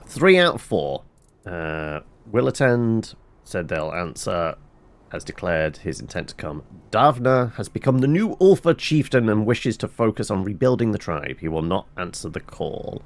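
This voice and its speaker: A man's voice: lowish voice, sing-song, measured pace. A man giving casual let's-play commentary in a wry, informal way with muttered asides. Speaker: gameplay commentator. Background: video game music.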